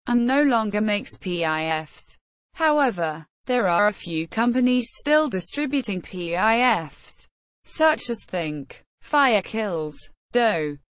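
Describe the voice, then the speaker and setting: A woman reading an encyclopedia article aloud as scripted narration in a formal, impersonal, measured manner. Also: medium pitch, dry recording.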